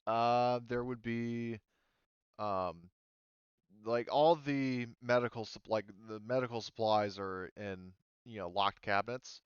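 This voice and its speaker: A man casually narrating a tabletop roleplaying game as game master, speaking haltingly as he searches for words. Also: medium pitch, smooth, no room sound, dull audio.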